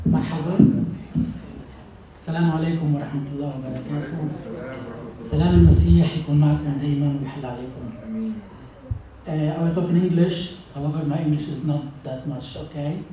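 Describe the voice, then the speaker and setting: A man in his thirties with a Lebanese accent, a native Arabic speaker, gives an earnest, halting personal speech to a congregation. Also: fairly high pitch, warm tone. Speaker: church member giving a testimony. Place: large indoor church hall. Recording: very echoey church, distant mic, faint noise.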